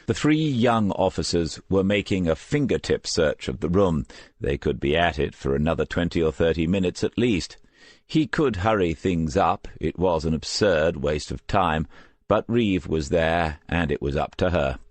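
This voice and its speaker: A man narrating a crime novel as an audiobook, in a measured and composed storytelling manner. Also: low-pitched, expressive, no echo. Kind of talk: scripted crime fiction narration.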